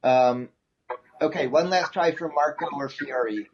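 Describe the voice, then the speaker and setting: A man with a slightly higher-pitched voice leads a class review on a video call. He speaks informally and hesitantly, as if thinking aloud. The audio is dull and lo-fi. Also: measured pace. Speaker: instructor leading an online class review.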